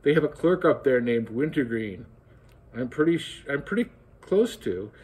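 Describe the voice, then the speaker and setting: Older man voicing a self-important colonel in a storybook reading: emphatic, a little husky, pitched slightly up. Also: lively intonation, normal speed, darkish tone, rough recording. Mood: eager. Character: fussy pompous male army colonel.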